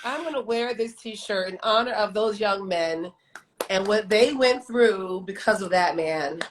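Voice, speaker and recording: A woman's voice: medium pitch, a little slow, a little hiss or hum. A woman hosting a casual live chat in a playful, exuberant way. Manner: exuberant, playful, sing-song greetings. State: says she lost her voice that morning. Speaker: live show host. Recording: roomy echo, faint music.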